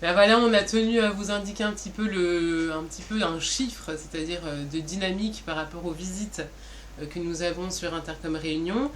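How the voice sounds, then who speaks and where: A woman's voice: lowish voice, a little fast, slight background noise. A woman co-presenting in an informal, didactic way, posing questions and then answering them. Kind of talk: informal explanatory business presentation.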